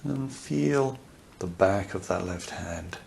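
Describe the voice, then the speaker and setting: A man with a low voice, heavy with gravelly creak, guides a deep relaxation meditation. He speaks softly and without hurry, leaving long gaps between short, soothing phrases. A faint fireplace ambience sits behind him. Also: expressive. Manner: soft, unhurried, soothing, with long pauses. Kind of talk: guided yoga nidra meditation. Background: crackling fireplace ambience.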